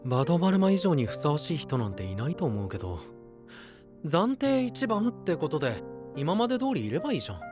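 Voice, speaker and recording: An actor playing a friendly, easygoing, supportive young male knight with casual, gentle phrasing in an anime-style voice drama. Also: on the high side, sing-song, fast, over music. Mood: encouraging.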